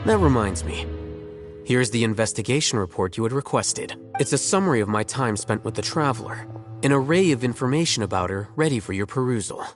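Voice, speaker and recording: An actor playing a formal, dutiful scribe in a video game, reporting in a polite, matter-of-fact way. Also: male voice, mid-range voice, lightly acted.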